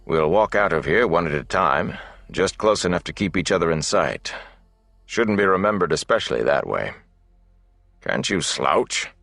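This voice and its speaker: A man voicing an old, gruff, world-weary travelling minstrel for a fantasy audiobook, with a deep gravelly voice. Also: lively intonation, moderate pace, fairly bright tone, no echo, soft background music, exaggerated voice. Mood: brisk, gruff. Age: older.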